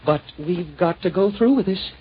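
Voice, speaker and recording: A man's voice: high-pitched, normal speed, natural voice. Fussy, anxious superintendent, acted by a man, furtive, repetitive phrasing, vintage radio drama, dull and faintly hissy. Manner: fussy, repeats himself. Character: fussy, nervous male building superintendent, furtive conspirator. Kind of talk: 1940s radio acting. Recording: muffled, faintly noisy old radio recording.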